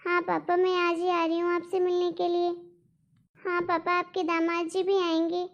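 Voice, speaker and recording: Woman as a very high-voiced, gentle young cartoon wife. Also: completely flat delivery, normal speed, highs cut off. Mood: reassuring. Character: long-suffering, gentle young daughter-in-law. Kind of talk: Hindi cartoon family melodrama.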